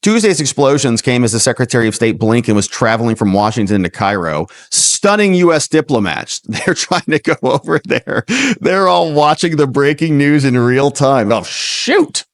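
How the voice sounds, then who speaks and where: A man's voice: mid-range voice, expressive, moderate pace, no echo. An American man hosting a news commentary show, reading reports and breaking off into casual, sarcastic, incredulous asides. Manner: acts out imagined dialogue, mocking and incredulous.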